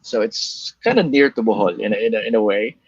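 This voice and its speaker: A man with a Filipino accent talks about his work in a casual, halting way, restarting his sentences often. His first language is Bicol. The recording sounds dull and lo-fi, dry and close with no room echo. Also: lowish voice, very animated, creaky, breathy edge. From Philippines. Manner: halting, many restarts and fillers, laughs lightly. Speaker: guest, email copywriter. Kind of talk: casual interview answers.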